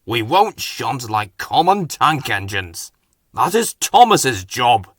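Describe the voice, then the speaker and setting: A man voicing a big, boastful train engine in a storybook, slow and pompous. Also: mid-range voice, very animated, fairly bright tone, no echo, studio quality, exaggerated voice.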